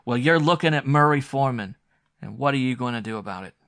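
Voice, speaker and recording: A man's voice: lowish voice, fairly quick. A man reading aloud and voicing a wounded, hunted man who sneers and jeers bitterly. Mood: heated. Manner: sneering, jeering, loud.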